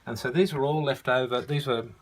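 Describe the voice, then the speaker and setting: A middle-aged man reminiscing casually in an unscripted way, often halting and searching for his words. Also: medium pitch, steady tone, a crowd in the background. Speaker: storyteller showing family photo archive.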